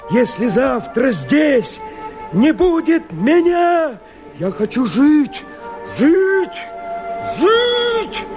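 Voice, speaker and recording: A man's voice: very high-pitched, heavily acted. An actor playing a desperate rebel pleading for his life, declaiming verse with impassioned theatrical delivery in a radio play. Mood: wistful defiance. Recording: radio play with music underneath. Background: music.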